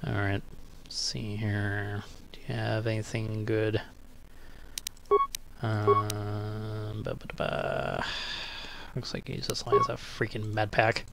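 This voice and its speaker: A man's voice: lowish voice, monotone, slow, vocal fry, pauses often. A man giving casual let's play commentary, muttering offhand to himself as he plays. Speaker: gaming video host. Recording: noisy game ambience behind the voice. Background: game ambience.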